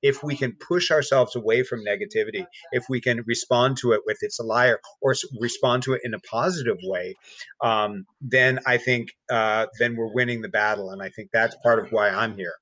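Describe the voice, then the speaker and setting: A man's voice: medium pitch, steady tone, normal speed, pushed and bright, dry recording, poor audio. A man talking reflectively and earnestly as a podcast guest, often repeating words as he feels out his point. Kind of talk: reflective conversational talk.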